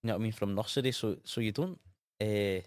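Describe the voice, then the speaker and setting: A young Scottish man with a Gaelic background, co-hosting a casual radio chat in a relaxed, easygoing way. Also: fairly low pitch, fast, no echo, studio quality. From Scotland. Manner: relaxed, laid-back, often tags sentences with "man". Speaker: radio co-host.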